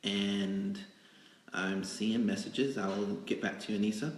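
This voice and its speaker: A man with a fairly low voice hosts an improvised live show from a roomy, echoing indoor space. He talks casually and haltingly, rambling along to fill time. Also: fairly even intonation. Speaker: magazine editor hosting a live interview show. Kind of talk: casual improvised livestream hosting. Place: indoors in a cake studio.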